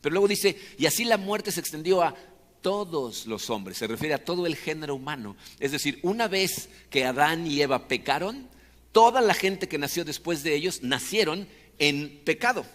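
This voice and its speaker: A man's voice: fairly high pitch, a bit bright. A man with a Mexican accent preaching a conversational, explanatory sermon, often checking for agreement with short tag questions. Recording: long hall reverb.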